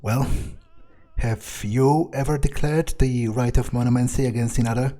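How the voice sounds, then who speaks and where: A man roleplaying a cynical, foul-mouthed vampire in a video game, thinking aloud in a halting, brooding way. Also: medium pitch, steady tone, warm tone, natural voice. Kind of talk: improvised in-character game roleplay.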